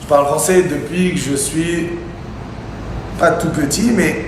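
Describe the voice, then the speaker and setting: A man's voice: a little high, steady tone, unhurried. A young man with a slight Arabic accent, bantering crudely and playfully on a casual livestream. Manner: joking, playful, loose and crude. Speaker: co-host of a live stream. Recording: very echoey room, faint ambient noise.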